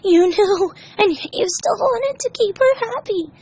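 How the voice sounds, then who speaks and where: Woman as tender fox kit boy, high pitch, tearful, plaintive, story character. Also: animated, measured pace, a little mellow, exaggerated voice. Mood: hurt, tearful. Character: gentle, tearful, sensitive young male fox kit.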